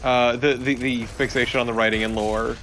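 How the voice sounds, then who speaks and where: A man's voice: medium pitch, level tone, brisk, fairly bright tone, a little hiss or hum, rough recording. A man co-commentating on a video game in casual, wry banter, often questioning and arguing. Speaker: let's play co-commentator.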